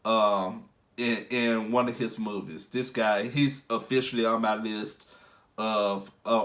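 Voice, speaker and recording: A man's voice: lowish voice, a bit bright. A congested young man who is under the weather, rambling casually through a movie review with many fillers and restarts.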